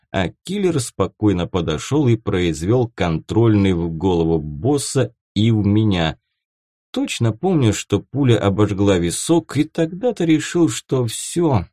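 A male audiobook narrator reads fiction expressively in a fairly low, mellow voice. His intonation moves widely from phrase to phrase, and the recording is close and dry. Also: no echo.

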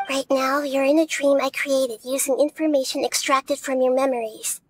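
A small, gentle child goddess from a video game, played by a woman. The voice is high, soft and girlish, explaining solemn matters with measured, polite wisdom. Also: little pitch movement, dry recording, lightly acted. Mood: calm, explaining.

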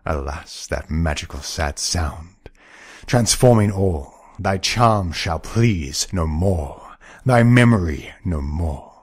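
A man's voice: deep voice, lively intonation, slow, breathy edge, no echo, exaggerated voice. A man playing a melancholy romantic poet, reciting verse theatrically in mourning. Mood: mournful, impassioned.

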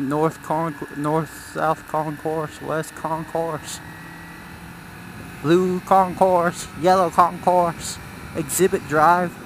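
A man's voice: fairly high pitch, fairly bright tone, a little hiss or hum. A man giving casual, rambling commentary while riding a motorcycle, thinking aloud as he goes. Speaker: motorcycle vlogger. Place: outdoors, riding a motorcycle on city roads.

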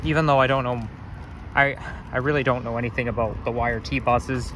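A man's voice: mid-range voice, fairly even intonation, a little fast, fairly bright tone. A man filming a casual walking vlog, chatting in a rambling, self-correcting way as he walks outdoors with a handheld camera. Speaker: vlogger. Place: outdoors on city sidewalks near traffic. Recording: outdoor street noise behind.

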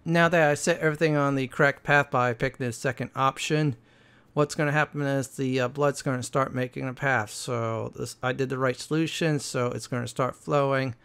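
A man giving casual, halting, explanatory game walkthrough commentary as a gaming host. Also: medium pitch, steady tone, a bit bright, smooth.

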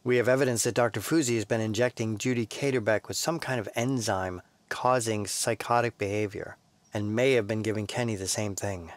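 An actor playing a calm, earnest researcher who explains things helpfully in an audio drama. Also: male voice, fairly low pitch, fairly quick, no room sound, natural voice. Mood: earnest, concerned.

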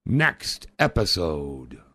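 A man's voice: extremely low pitch, sing-song, extremely slow, bright, forceful tone. A man narrating an adventure serial in a theatrical, scripted pulp style.

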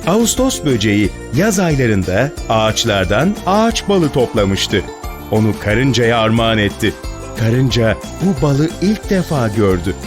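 A man's voice: mid-range voice, sing-song, a little slow. A man narrating a scripted children's fairy tale as a storyteller. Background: music.

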